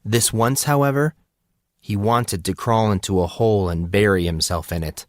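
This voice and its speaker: Low-voiced man narrating fiction. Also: moderate pace, dry recording, studio quality. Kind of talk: scripted audiobook narration.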